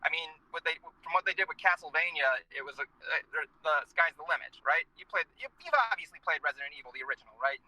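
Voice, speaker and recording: A man's voice: fairly high pitch, quick pace, hardly any pauses, pushed and bright, low-quality recording. A man hosting a casual talk show from inside his car, joking and rambling with a groggy voice after a day of work. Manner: joking, chatty, self-interrupting.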